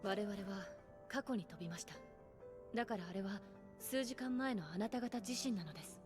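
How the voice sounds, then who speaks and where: A level-headed female attendant from an anime game, acted by a woman, in a medium-pitched voice. She is formal, dutiful and restrained, with music underneath. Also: moderate pace, close to an everyday voice. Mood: urgent. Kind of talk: Japanese anime game voice acting. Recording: music behind the voice.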